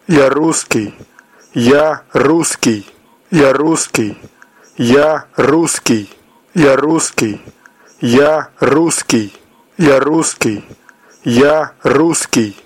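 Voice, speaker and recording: A man repeating one short statement over and over in a flat, monotonous way. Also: mid-range voice, breathy edge, dry recording.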